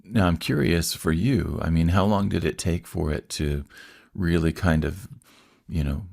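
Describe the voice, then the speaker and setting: A man's voice: low voice, measured pace, gravelly, a little mellow, dry recording, clean studio sound. A man hosting a podcast, asking questions in a friendly, enthusiastic way. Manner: friendly, enthusiastic, laughs often. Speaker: podcast host. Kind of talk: casual interview.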